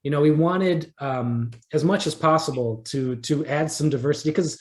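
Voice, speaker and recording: A man's voice: a little high, normal speed, a little mellow. A man talking casually as an interview guest, peppering loose, restarted sentences with likes. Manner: conversational, peppered with likes and repeated starts. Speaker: interview guest, audio drama creator.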